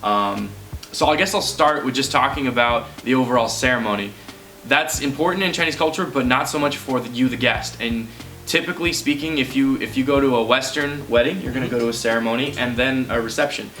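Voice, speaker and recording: Casting: American male guest, bright, forward tone, easygoing explainer, light music behind. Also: medium pitch, fairly quick. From United States. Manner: relaxed, explanatory, with fillers. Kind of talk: casual chat.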